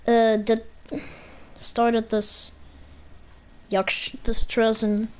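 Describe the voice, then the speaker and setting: A woman doing casual gameplay commentary as a streamer, complaining in a blunt way. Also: on the high side. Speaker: game streamer. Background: game music.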